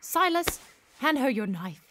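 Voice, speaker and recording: A woman's voice: high voice, sing-song, a little slow, fairly bright tone, heavily acted. An actress playing a spirited, pampered young lady in a period audio drama, dramatic, coaxing and playful. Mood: eager, coaxing.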